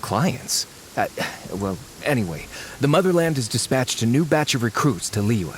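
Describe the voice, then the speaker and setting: An actor playing a dutiful military subordinate in a video game, formal, deferential and earnest. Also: male voice, medium pitch, sing-song, moderate pace, noisy background, close to an everyday voice. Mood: respectful, puzzled.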